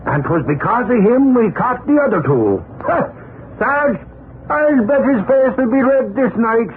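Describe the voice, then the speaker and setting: An excitable Irish sidekick in an old radio crime drama, high-pitched and swooping. He blusters in folksy exclamations through a thin, noisy vintage broadcast. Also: a little slow, heavily acted. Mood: amazed, gleeful. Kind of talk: 1940s radio acting. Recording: noisy 1940s radio broadcast, narrow band.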